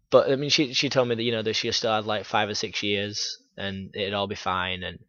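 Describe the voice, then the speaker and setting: Young man from Yorkshire, low voice, halting and frank vlog delivery, dry dull lo-fi sound. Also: sharp tone, dry recording. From Yorkshire, England. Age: young adult. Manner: halting, filler-heavy, frank. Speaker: YouTuber. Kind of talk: personal vlog storytelling.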